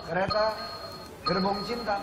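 A man, co-hosting a TV show with animated, playful banter during a guessing game. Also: high-pitched, a little slow, roomy sound. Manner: animated, joking. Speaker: TV show co-host. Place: cafe-style TV show set indoors. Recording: loud music and audience noise behind.

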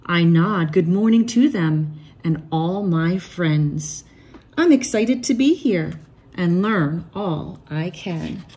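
A woman reading a rhyming children's picture book aloud in an expressive, measured storytelling manner. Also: on the low side, breathy edge. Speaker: read-aloud storybook reader.

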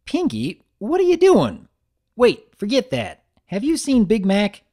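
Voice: medium pitch, wildly swooping pitch, measured pace, dark tone, voice pushed up. A narrator voicing a blunt, impatient young country mare from a cartoon. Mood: urgent.

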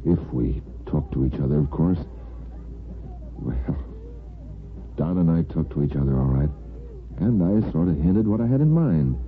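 A man's voice: a bass voice, expressive, moderate pace, long pauses, slight background noise. An American man playing an ardent, plain-spoken bachelor neighbor in an old-time radio soap opera, with earnest, folksy phrasing. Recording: muffled vintage radio broadcast with music.

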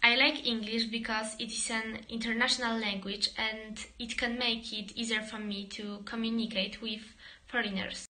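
A woman giving a slow, deliberate, prepared statement. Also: on the high side, little pitch movement, sharp tone. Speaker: contributor to a language video.

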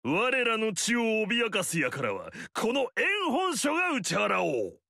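A haughty demon noble lord. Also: male voice, high voice, huge pitch swings, slow, vocal fry, bright, forceful tone, no room sound, heavily acted. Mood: commanding. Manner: haughty, commanding, self-praising. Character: pompous arrogant male demon-clan noble warlord. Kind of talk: video game battle lines. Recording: dry studio recording.

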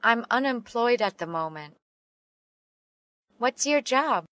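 Female, slow deliberate delivery, clear diction, dry studio sound. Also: fairly high pitch, animated, fairly bright tone, no room sound. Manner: slow and carefully enunciated. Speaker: English teacher reading dialogue. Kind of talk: slow read-aloud English practice phrases.

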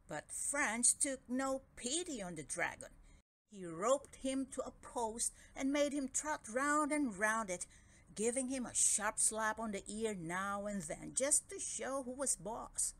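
Lady reading a kids storybook out loud, really animated, takes her time. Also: fairly high pitch. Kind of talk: expressive children's read-aloud narration.

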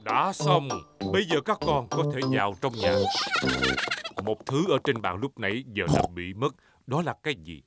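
A man's voice: medium pitch, lively intonation, normal speed, loud music behind the voice, close to an everyday voice. A man voicing a jolly, patient father pig in a children's cartoon dub, playfully explaining things with a southern Vietnamese accent. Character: jolly, patient daddy pig playing along.

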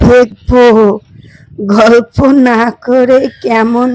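A woman voicing a kindly, affectionate older mother-in-law in a children's doll story, gentle and fond. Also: fairly high pitch, level tone, very slow, rough recording. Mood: fond, agreeable.

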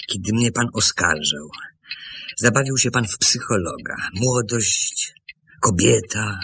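An earnest middle-aged man in a radio play, pleading his case. His voice is low and creaky, and he speaks very slowly with weighty pauses. The old recording carries a faint hiss. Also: sharp tone, full character voice. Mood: earnest, restrained. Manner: pleading, deliberate, with heavy pauses. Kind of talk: Polish radio play acting.

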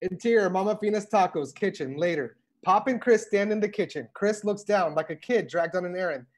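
A woman's voice: lowish voice, brisk, darkish tone. A woman reading scripted stage directions as narration for a table read. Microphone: video call.

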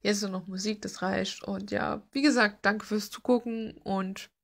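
A woman's voice: medium pitch, fairly even intonation, a little slow, vocal fry. A young adult woman chatting informally and a bit self-deprecatingly as a voice-over for her art video. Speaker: art vlogger. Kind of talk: casual chat, voice-over to art process video.

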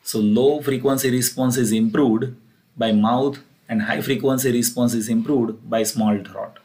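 A man's voice: medium pitch, steady tone, unhurried, darkish tone, poor audio. A man with an Indian accent giving a plain, methodical technical lecture, repeating key phrases as he explains. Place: voice-over for a screen recording.

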